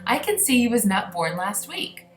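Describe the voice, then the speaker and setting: Storybook giant, played by a woman: big, kindly, excitable male, quirky broken grammar, roomy echo. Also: a little high, moderate pace, soft background music, pitched above the performer's own voice. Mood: gentle, folksy. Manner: quirky ungrammatical speech with invented words.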